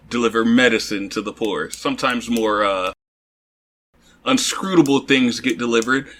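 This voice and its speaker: A man playing a glib, streetwise go-between in a tabletop game, making a persuasive but halting pitch. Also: fairly bright tone. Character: glib, streetwise male go-between vouching for his patron.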